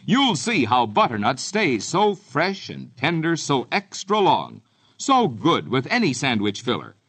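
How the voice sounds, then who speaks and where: A man's voice: medium pitch, huge pitch swings, a little slow, a bit bright, husky. A man with an American accent delivering a scripted 1950s-style radio commercial. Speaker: commercial announcer. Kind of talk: 1950s radio advertising pitch.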